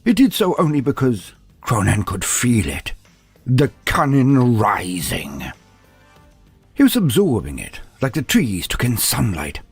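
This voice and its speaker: Male narrator for dramatic fantasy lore, gravelly and grandiose with wry asides, over music. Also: medium pitch, wildly swooping pitch, measured pace, dry recording. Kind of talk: dramatic scripted storytelling narration. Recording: music under the voice. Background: background music.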